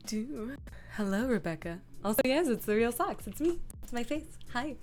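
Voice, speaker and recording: A woman's voice: fairly high pitch, sing-song, moderate pace, over music. A woman streamer chatting casually as she works, rambling and thinking aloud. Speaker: live streamer; comic dub director. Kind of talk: casual livestream chat while working.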